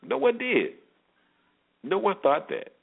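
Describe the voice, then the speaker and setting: A man's voice: sits high, big pitch swings, slow pace, creaky, husky. A man hosting a solo sports show, giving informal commentary and repeating phrases for emphasis. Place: solo internet radio show. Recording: muffled phone-like internet radio audio.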